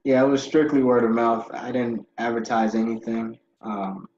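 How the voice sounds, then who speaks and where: A man's voice: medium pitch, steady tone, moderate pace, a little mellow. A young man from Ghana, a podcast guest who tells his story in a rambling, casual way with many fillers. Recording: dull lo-fi audio.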